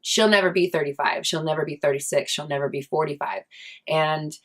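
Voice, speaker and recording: A woman's voice: low-pitched, fairly quick, fairly bright tone. A woman in her thirties talking candidly in a casual vlog, chatty and swearing freely, with many likes and restarts. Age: 30s. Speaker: vlogger.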